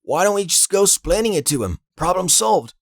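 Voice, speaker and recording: A man's voice: sits high, sing-song, moderate pace, dry recording, clean studio sound, voice pushed up, full character voice. A man voicing a brash, impatient street criminal with punchy slang, in an audiobook.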